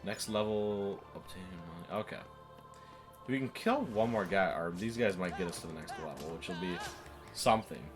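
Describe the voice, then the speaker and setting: A man's voice: low voice, brisk, over music. A man chatting casually through a video game, rambling and opinionated as he analyses it. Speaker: gaming show co-host. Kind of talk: casual let's play commentary chat.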